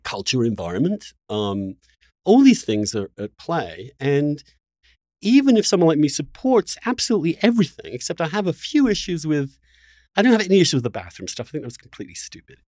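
Middle aged guy hosting a podcast chat, stops and starts thinking out loud, really dry close sound. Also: a little high, big pitch swings, dry recording. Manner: hesitant, halting, thinking aloud. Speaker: podcast host. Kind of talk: casual spirited discussion.

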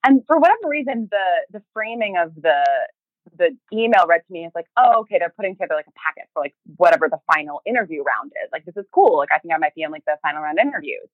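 Woman podcast guest, bit low pitched, chatty and halting, casual interview, dry sound. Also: expressive, no echo, phone-quality sound. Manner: chatty, halting, acts out remembered thoughts and emails in quoted speech. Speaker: podcast guest, fiction podcast creator and writer.

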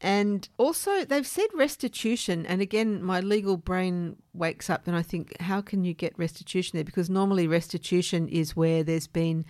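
A woman hosting a podcast, explaining things in a measured way with informal asides. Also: fairly low pitch, a little mellow, no echo, clean studio sound. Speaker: podcast host.

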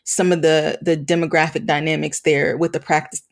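A woman speaking as an interview guest, reflective and earnest, measured with pauses. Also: sits low, flat delivery, gravelly. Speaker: guest, campus ministry leader.

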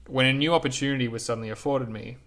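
A man reading his own reflective essay aloud in a measured, lyrical, unhurried way. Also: mid-range voice, fairly bright tone.

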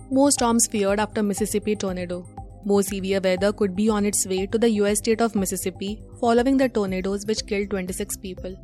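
Indian english woman reading the news, mid pitch voice, some music under it. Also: dark tone. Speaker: news presenter. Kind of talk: scripted news bulletin reading.

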